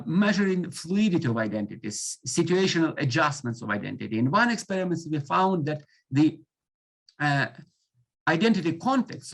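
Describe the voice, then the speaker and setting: A man whose first language is Ukrainian, lecturing in English over a video call on a computer mic. His voice sits a little high for a man, and he halts often, filling the gaps with long runs of "uh" and restarting his sentences. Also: fairly bright tone. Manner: halting, many fillers and restarts. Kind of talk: academic lecture.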